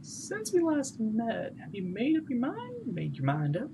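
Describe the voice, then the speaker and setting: A woman streaming a live reaction to a game, playful and blurting quick asides, with game music behind her. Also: a little high, huge pitch swings, creaky, noisy background.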